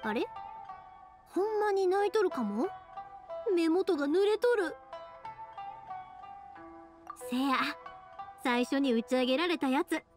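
A woman's voice: sits high, animated, a little slow, pauses often, over music. A woman voicing a cheerful, sincere young fireworks maker in an anime-style game, with a Kansai accent and a friendly, earnest, colloquial manner. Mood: moved, delighted.

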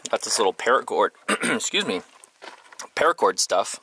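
A man's voice: fairly low pitch, very animated, moderate pace, pushed and bright, slightly rough, rough recording. A man talking casually and informally to a handheld camera as he works on a project, rambling as he explains. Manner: informal, rambling, talks while working. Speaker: vlogger, host. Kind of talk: casual DIY vlog narration to camera. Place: inside a converted school bus and outdoors beside it. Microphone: handheld camera at arm's length.

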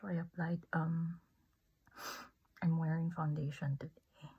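A woman whispering softly through a makeup demo, gushing with amazement over each product. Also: low-pitched, level tone, measured pace, husky, highs cut off. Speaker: beauty ASMR creator.

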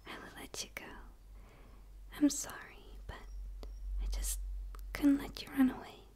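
A woman voicing a gentle, curious dark alien in a soft, tentative, pleading ASMR roleplay whisper. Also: unhurried, natural voice. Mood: reassuring, apologetic. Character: gentle, curious female dark alien eager for human friendship.

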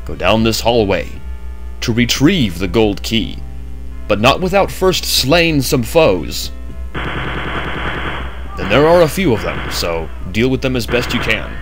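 A man's voice: medium pitch, very animated, unhurried, pauses often, fairly bright tone, faint music behind. A man narrating a game walkthrough in a chatty way with jokey asides, just woken up. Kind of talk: casual gameplay commentary.

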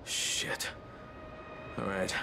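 A man's voice: extremely slow, noisy background. A man playing a gritty, determined survivor in a zombie video game, terse, urgent and given to swearing. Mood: urgent, resolute. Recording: loud game music behind.

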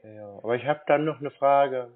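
A man in a casual phone conversation, talking in a naive, slow-witted, stalling way like a confused customer. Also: mid-range voice. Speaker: prank caller posing as a naive customer. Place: phone call. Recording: tinny band-limited phone line.

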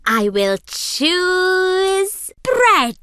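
A woman's voice: extremely high pitch, sing-song, bright, forceful tone, no room sound, studio quality, full character voice. A woman voicing a cheerful young female bug with a Welsh accent in a children's cartoon, talking in a bouncy sing-song way. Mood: teaching. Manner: bouncy, sing-song, teaching words slowly. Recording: music playing underneath.

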